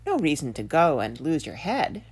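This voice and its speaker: A woman's voice: low voice, wildly swooping pitch, normal speed, vocal fry, darkish tone, breathy edge, full character voice. A woman playing a blustery, exclamatory fisherman in a children's tale. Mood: curious, dismissive.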